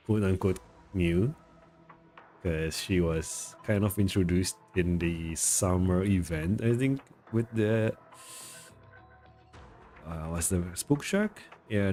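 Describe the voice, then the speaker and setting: A man's voice: low voice, warm tone. A man livestreaming a game in casual chat, rambling and self-deprecating as he searches for words, with game music behind him. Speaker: game streamer. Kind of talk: casual live stream chat.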